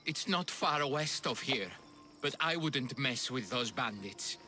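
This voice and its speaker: Very bright, sharp-voiced villager. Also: male voice, mid-range voice, lively intonation, slow pace, slight background noise, heavily acted. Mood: wary. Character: blunt, sardonic male villager warning of bandits. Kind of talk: video game cutscene acting.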